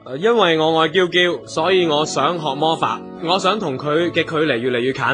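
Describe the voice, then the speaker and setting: Male actor as lovestruck struggling entertainer: very high for a man, excitable, sincere, Hong Kong Cantonese, radio comedy. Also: normal speed, close to an everyday voice. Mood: earnest, lovestruck. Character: eager lovestruck male performer with a struggling career. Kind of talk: radio comedy drama acting. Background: music.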